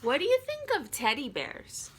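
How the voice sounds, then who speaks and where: Storybook young boy, played by a woman: anxious, protesting, emphatic, with lively high swoops. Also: normal speed, a little hiss or hum, exaggerated voice. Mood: worried.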